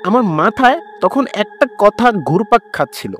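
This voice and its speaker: Guy doing suspenseful horror story narration, scared tired ambulance driver, voice swings a lot, loud music under it. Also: high-pitched, sing-song, moderate pace. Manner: suspenseful, dramatic. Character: frightened, weary male ambulance driver telling his tale. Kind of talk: dramatic first-person horror storytelling.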